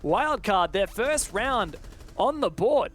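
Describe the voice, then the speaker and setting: A man doing live esports play-by-play commentary, getting excitable on big plays. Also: high-pitched, slow pace.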